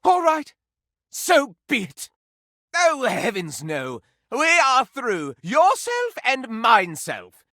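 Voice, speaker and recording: Game role: a theatrical gossip of a candelabra, high for a man, hugely animated, bright, campy and florid. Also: slow, no room sound, clean studio sound, heavily acted. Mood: theatrical, teasing. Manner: florid, campy, melodramatic, affected continental airs. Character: flamboyant, gossipy, theatrical male candelabra dandy. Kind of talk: theatrical video game character acting.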